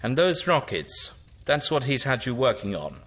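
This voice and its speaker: Man acting animated, eccentric sci-fi hero. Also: medium pitch, moderate pace. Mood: puzzled, determined. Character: eccentric, resolute male time-travelling hero, or his villainous double. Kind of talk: audio drama acting.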